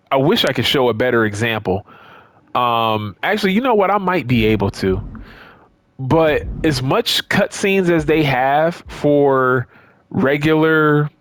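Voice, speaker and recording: A man's voice: mid-range voice, fairly bright tone, no echo. A man giving casual, off-the-cuff commentary on a video game, emphatic and repeating words for stress. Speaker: gaming video creator.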